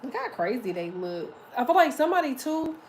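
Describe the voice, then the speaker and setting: Woman, deep and a little muffled, casual tarot reader, loose and profane, faint hiss behind. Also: big pitch swings, a little fast. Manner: chatty, loose, swears casually.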